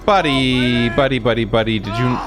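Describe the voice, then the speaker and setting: A man's voice: mid-range voice, moderate pace, a crowd in the background, noisy background. A man hosting a gaming video, reacting casually with joking, chatty asides.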